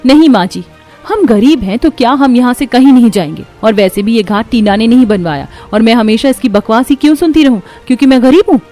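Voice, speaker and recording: Woman as a defiant poor young daughter-in-law, highish voice. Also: brisk, warm tone, soft background music, natural voice. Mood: indignant, defiant. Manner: indignant, firm. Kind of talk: Hindi cartoon moral story dialogue.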